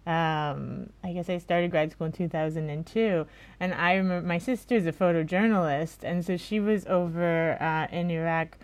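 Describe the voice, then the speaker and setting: A woman's voice: fairly low pitch, normal speed, a little mellow, no room sound. A woman in her forties from Florida, hosting a podcast interview in a casual, chatty way. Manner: casual, frequent "like" and "you know". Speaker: podcast host.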